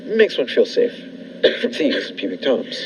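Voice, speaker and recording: A man playing a sinister, falsely solicitous captor in a 1940s-style radio thriller, insinuating and unhurried. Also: mid-range voice, very animated, close to an everyday voice. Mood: creepy solicitude. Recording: dull lo-fi recording, faint noise.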